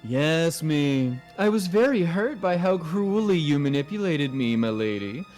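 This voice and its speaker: A man's voice: on the high side, sing-song, a little mellow, soft background music. A man voicing a smug, scheming nobleman villain in a video game, mockingly courteous and condescending. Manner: mockingly courteous, drawn-out and taunting.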